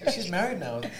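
A man's voice: high voice, very noisy. A man born in the UK and raised in Australia, with a British Australian accent, hosting a casual chat and teasing his co-hosts with frequent laughter. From United Kingdom, grew up in Australia. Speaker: podcast host.

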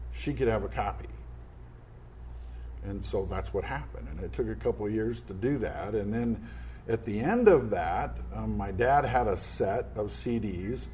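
A man with a low, warm voice talks through a family history in a thoughtful, earnest way. He often backs up and restarts phrases as he thinks. A faint background hiss sits under the recording. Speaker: interview guest. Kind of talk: conversational storytelling.

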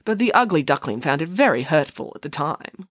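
A woman's voice: low voice, very animated, a little fast, husky, no room sound, studio quality, full character voice. A woman voicing a firm, theatrical nanny telling the children a fairy tale. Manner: firm, matter-of-fact storyteller. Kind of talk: audiobook character voice.

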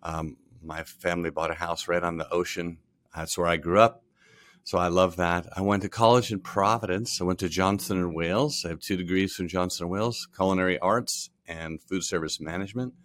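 A man in his sixties from Rhode Island, a podcast guest recalling his career in a relaxed, storytelling way, often trailing off softly at the ends of phrases. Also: low-pitched, fairly quick, no room sound. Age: 60s. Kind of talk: casual interview.

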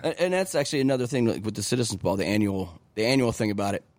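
A man's voice: fairly low pitch, very fast, a little mellow, no room sound. A man in a band, talking in a wry, chatty way on a podcast. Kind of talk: casual podcast chat.

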